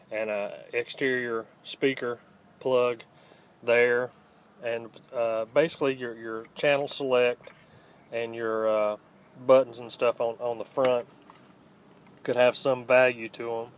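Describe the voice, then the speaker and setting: A man giving a casual, unscripted hands-on how-to in a plainspoken, halting way, recorded on a handheld phone. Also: mid-range voice, steady tone. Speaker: host of a scrapping how-to channel. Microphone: handheld phone, filming one-handed. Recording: home phone video with faint background noise.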